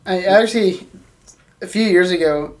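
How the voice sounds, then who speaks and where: A woman's voice: fairly low pitch, moderate pace, a little mellow. A woman co-hosting a podcast, sharing memories and opinions in casual conversation. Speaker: wrestling podcast co-host. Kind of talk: casual chat.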